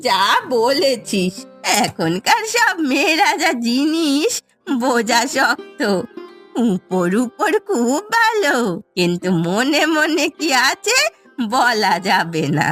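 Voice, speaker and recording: Woman voicing a high-pitched, feisty old village grandmother. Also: sing-song, takes it slowly, a bit bright, soft background music, heavily acted. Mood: chatty, musing. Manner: theatrical, chatty. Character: feisty old village grandmother, playful, plain-spoken, living alone. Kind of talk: cartoon story dialogue.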